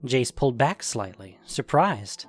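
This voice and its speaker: A man's voice: mid-range voice, animated, a little slow, husky, soft background music. A man narrating a scripted fantasy adventure story.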